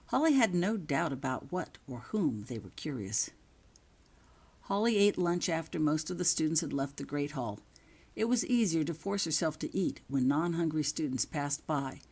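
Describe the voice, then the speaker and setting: A woman's voice: low-pitched, a little mellow. A woman reading her own written story aloud as a scripted narration. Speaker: author reading own fan fiction.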